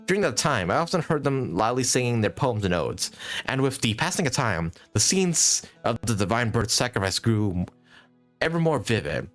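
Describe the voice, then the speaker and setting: A man's voice: medium pitch, big pitch swings, normal speed, a bit bright, faint music behind. A man reading the part of a proud spirit heroine who recounts ancient lore in formal, lofty storytelling for a video game. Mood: lofty, solemn.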